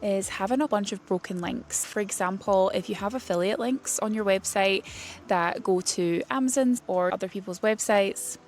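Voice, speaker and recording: Woman giving a tutorial, slightly creaky voice. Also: medium pitch, moderate pace, faint music behind. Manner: plain-spoken, explanatory. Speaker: presenter of a website tutorial series for writers. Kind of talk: informal instructional explainer.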